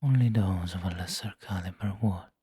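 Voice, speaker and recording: A man playing a refined, doting and possessive vampire lord in an intimate ASMR roleplay, with a breathy whisper and formal, tender phrasing. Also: low voice, dark tone, no echo, clean studio sound. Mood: savoring, possessive. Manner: slow, formal, tender, indulgent.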